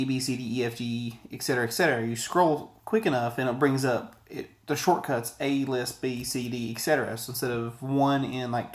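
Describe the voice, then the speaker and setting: A man in his thirties giving a casual, rambling, conversational product review to camera, often digressing. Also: medium pitch. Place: small indoor office, sitting at a desk.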